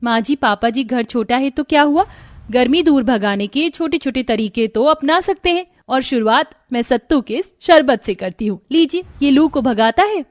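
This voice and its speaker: High, sweet young daughter-in-law. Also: female voice, expressive, moderate pace, no room sound. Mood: caring. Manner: polite, reassuring. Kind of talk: Hindi cartoon story dubbing.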